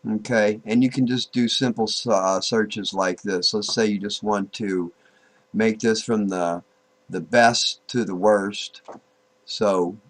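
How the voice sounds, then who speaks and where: A man giving an informal, unscripted software tutorial, thinking aloud, halting and repeating himself as he goes. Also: on the low side. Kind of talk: informal screen-recorded tutorial, unscripted walk-through.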